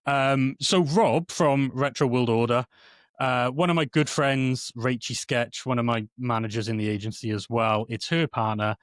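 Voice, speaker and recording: A man's voice: medium pitch, fairly even intonation, normal speed, no room sound, clean studio sound. A man from South Wales who is a guest on a podcast, chatting casually, laughing often and repeating words as he talks. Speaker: guest, streamer.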